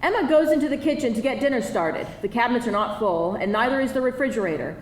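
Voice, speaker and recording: A woman with a slightly high voice narrates scene directions from a script. She sounds a bit far from the mic in a roomy space. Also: animated, quick pace. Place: live event room. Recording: roomy, distant, faintly noisy live reading.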